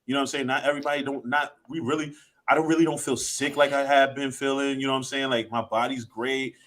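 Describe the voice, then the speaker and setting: A man's voice: medium pitch, level tone, fast, a bit bright. A man in his late thirties, co-hosting a podcast, talking in a rambling, reflective way with lots of restarts. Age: 30s. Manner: rambling, self-reflective, many restarts and "you know". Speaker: podcast co-host. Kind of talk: casual podcast chat.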